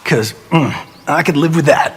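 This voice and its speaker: An actor playing a cocky, wisecracking Hollywood action star in a video game, with a flippant, showy, casual manner. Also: male voice, a little high, moderate pace, very noisy, natural voice. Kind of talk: video game character dialogue.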